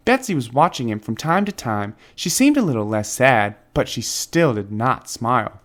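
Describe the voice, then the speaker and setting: A man narrates a children's storybook. His medium-pitched voice moves up and down freely, and he leans on key words with dramatic emphasis. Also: very animated, normal speed, a bit bright. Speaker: children's book reader. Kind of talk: expressive scripted storybook narration.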